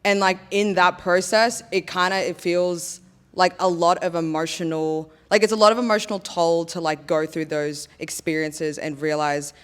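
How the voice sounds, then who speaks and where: A woman's voice: on the low side, fairly even intonation, moderate pace, clean tone. A young woman sharing her views in a casual panel discussion, talking reflectively with lots of "like" fillers. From Australia. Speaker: panel guest.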